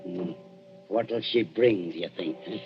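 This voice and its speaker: An actor playing a greedy, rough grave-robbing henchman in a 1940s radio drama. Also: low voice, unhurried. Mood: greedy, gloating. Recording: dull vintage 1940s radio recording, music.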